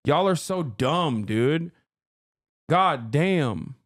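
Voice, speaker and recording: A man's voice: on the high side, animated, very slow, dry recording, studio quality. A man from Turkey, a native Turkish speaker, hosting a casual live-stream reaction and bluntly teasing his viewers. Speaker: streamer host.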